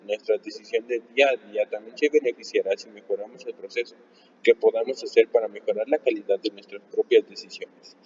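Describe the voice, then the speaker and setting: A man reading a nonfiction book aloud in a live session, at a measured pace with the odd restart. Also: fairly low pitch, a little mellow, a little hiss or hum. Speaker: reader of a book. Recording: distant mic, long reverb, dull lo-fi sound.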